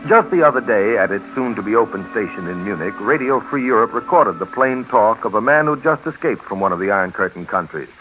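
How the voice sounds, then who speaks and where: An American man narrating a 1950s radio documentary drama in an earnest, measured, rhetorical manner. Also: lowish voice, lively intonation, fairly bright tone, rough recording. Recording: vintage radio recording, music.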